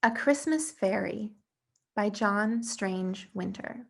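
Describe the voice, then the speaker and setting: A woman reading a children's story aloud as an expressive narrator. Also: medium pitch, slow, gravelly. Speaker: story reader.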